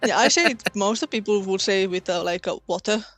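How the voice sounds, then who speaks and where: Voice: medium pitch, fairly quick, a bit bright, soft background music. A person with a Finnish accent chatting casually as a guest on a stream, loose and laughing. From Finland. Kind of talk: casual chat.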